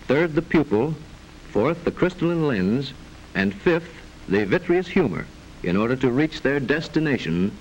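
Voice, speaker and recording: A man narrates in the formal style of a 1940s educational film. His medium-pitched voice moves through lively rises and falls while staying measured and instructive. A steady layer of noise from the old soundtrack sits under the speech. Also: rough recording. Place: voice-over narration recording.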